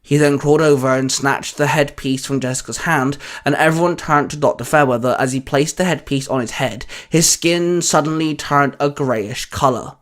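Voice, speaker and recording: A man's voice: a little high, normal speed, few pauses, a bit bright. A man reading scripted fan fiction as a story narrator.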